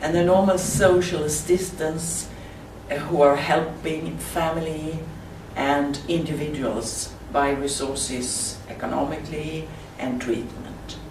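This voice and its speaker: A Swedish woman with a Swedish accent, speaking halting non-native English in a slide presentation at a conference. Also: sits low, steady tone. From Sweden. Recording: roomy, distant mic with faint hiss.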